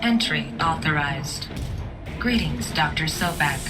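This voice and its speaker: A woman's voice: low voice, animated, slow, music in the background. A woman voicing a formal, emotionless security computer in a video game, speaking in automated announcements.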